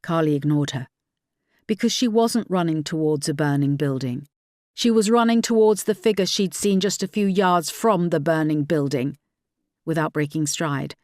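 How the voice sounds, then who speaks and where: A woman's voice: low-pitched, darkish tone, no room sound, clean studio sound. A woman audiobook narrator reading dramatic fiction from a script.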